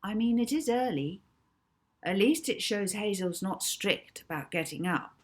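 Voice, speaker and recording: Lady doing a sensible young boy in a kids audiobook, plain spoken, husky edge. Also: mid-range voice, lively intonation, a little slow, voice pushed up. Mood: matter-of-fact. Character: young boy, sensible, matter-of-fact friend. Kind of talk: children's audiobook character voice.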